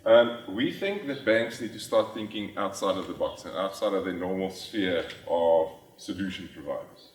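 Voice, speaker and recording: A man's voice: deep voice, animated, normal speed, hardly any pauses. A middle-aged South African man, a native Afrikaans speaker, giving a conference presentation with frequent ums and restarts. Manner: frequent ums and restarts, plain explanatory delivery. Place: large conference hall. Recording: echoey hall, from far off the mic.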